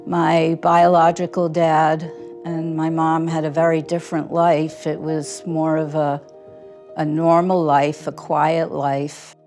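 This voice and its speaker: A woman speaking about her mother in a slow, thoughtful documentary interview, with faint music behind her. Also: sits low, flat delivery, a little mellow. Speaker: interviewee, family member.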